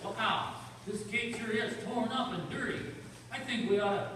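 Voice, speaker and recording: A performer playing a curious, excitable boy detective's sidekick in an old-time radio crime drama. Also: mid-range voice, normal speed, lightly acted. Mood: startled, sneezy. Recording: echoey hall, far from mic, faint noise.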